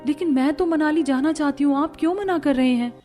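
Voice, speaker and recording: Woman voicing a scheming, whiny young wife, high-pitched. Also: fairly quick, dark tone, soft background music, natural voice. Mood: annoyed, complaining. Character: scheming, jealous young newlywed wife, sweetly deceitful. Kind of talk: animated family drama dialogue.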